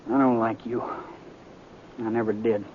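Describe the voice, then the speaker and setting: An actor playing a quiet, stubborn young cowboy in a 1950s radio western, blunt and plainspoken. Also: male voice, level tone, measured pace, natural voice. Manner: blunt, low-key and plainspoken. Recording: tinny old radio transcription with faint hiss.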